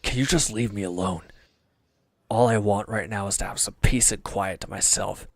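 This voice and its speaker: A man playing a wounded, defeated villain's henchman in an intimate audio roleplay, bitter, defensive and despondent, halting and sometimes dropping to a near-whisper. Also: on the low side, dry recording. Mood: defensive, irritable.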